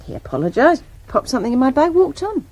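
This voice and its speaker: High-voiced, blunt young English woman. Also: big pitch swings, fast, darkish tone, heavily acted. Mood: flustered. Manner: blunt, colloquial, scornful. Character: young woman busker, blunt, indignant, sharp-tongued girlfriend. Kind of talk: British radio drama acting.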